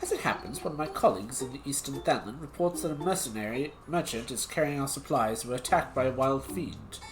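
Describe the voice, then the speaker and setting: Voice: mid-range voice, animated, quick pace, music in the background, natural voice, poor audio. A streamer voicing a prim, haughty guild weaver who speaks in a formal, dry, condescending way. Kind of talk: reading game dialogue aloud on stream.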